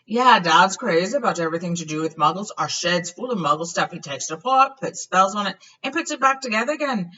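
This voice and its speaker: A woman's voice: mid-range voice, expressive, a little fast, few pauses, bright, forceful tone. A woman voicing a brash, joking teenage wizard brother in a breezy, emphatic storybook style. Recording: dull lo-fi, far from the mic.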